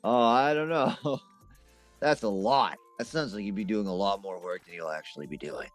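A man's voice: lowish voice, moderate pace, soft background music, natural voice. A man playing an eager, scattered amateur ghost-hunter boss in a naturalistic comedic audio drama, hesitant and repeating himself. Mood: flustered, improvising. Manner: hesitant, rambling, repetitive.

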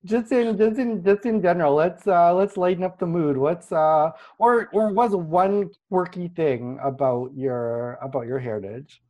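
A podcast host leading a casual group chat, chatty and quick to laugh, tossing in quick asides. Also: medium pitch, darkish tone.

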